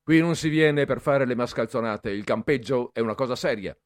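A man's voice: lowish voice, normal speed, darkish tone, no echo, studio quality, natural voice. A man voicing a stern, strict middle-aged male professor who scolds the boys severely. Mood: stern scolding.

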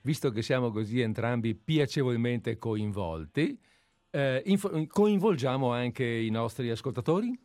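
A man hosting live radio from a studio, talking in an easy, rambling way and drifting into anecdotes. His voice is middle-pitched, and the sound is close and dry. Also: animated, a little slow, a little mellow, no room sound. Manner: chatty, digressive storyteller. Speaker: radio host.

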